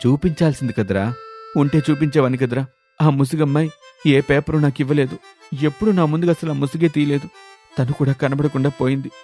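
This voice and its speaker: A man's voice: a little high, steady tone, brisk, dark tone, soft background music, natural voice. A man playing a downcast, swindled young husband who confides in a plaintive, self-pitying way. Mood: dejected.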